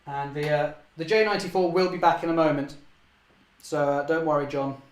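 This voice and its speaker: Young guy hosting a live hobby stream, a bit hoarse, chatty and upbeat, higher voice, echoey room. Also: steady tone, brisk. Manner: chatty, self-correcting, cheerful. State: slightly hoarse. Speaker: model railway channel host. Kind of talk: live-stream running commentary.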